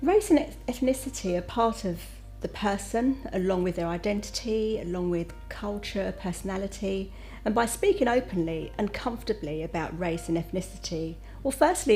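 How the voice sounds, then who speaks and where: A woman's voice: mid-range voice, creaky, darkish tone. A woman speaking candidly and reflectively in a corporate testimonial.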